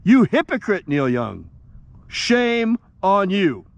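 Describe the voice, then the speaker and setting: A man's voice: sits high, wildly swooping pitch, slow pace, vocal fry. Impassioned older man preaching. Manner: impassioned, rising to indignant outbursts. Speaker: ritual leader. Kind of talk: preaching and leading a ritual prayer.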